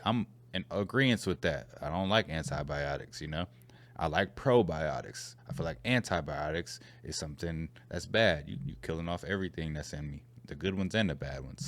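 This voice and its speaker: A man hosting a reaction video, talking casually in a slangy, easygoing way as he comments on clips. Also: extremely low pitch. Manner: laid-back, conversational, slangy, muses aloud.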